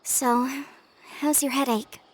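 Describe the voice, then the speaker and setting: High-voiced, spirited young sister. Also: female voice, fairly even intonation, slow pace, slight background noise, low-quality recording. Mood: caring, concerned. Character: caring, spirited young sister, protective and frank. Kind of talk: video game voice acting.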